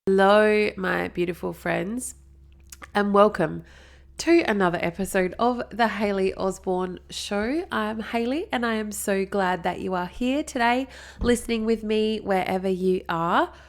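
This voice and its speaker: A woman's voice: medium pitch, a little slow, vocal fry. An upbeat, chatty Australian woman in her thirties from South Australia with a blocked nose, hosting a solo podcast and wandering into friendly digressions. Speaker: podcast host. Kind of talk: solo marketing podcast talk.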